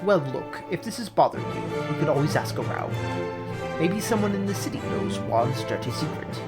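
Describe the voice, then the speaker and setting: A man voicing a sensible, dutiful falcon lawyer with a measured, dry and mildly exasperated manner. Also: fairly high pitch, big pitch swings, loud music behind the voice.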